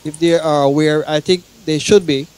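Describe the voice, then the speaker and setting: A middle-aged man, a singer talking casually as a guest in a halting, questioning way. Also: a little high, steady tone, a little mellow, clear, resonant tone. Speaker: veteran singer, guest on talk show. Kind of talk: casual discussion.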